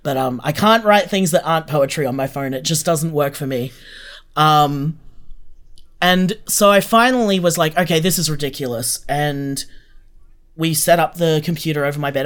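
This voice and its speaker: Higher-voiced man chatting. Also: a bit bright. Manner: chatty, informal, frequent "like". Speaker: interview guest, podcast creator. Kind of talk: casual conversational interview answers.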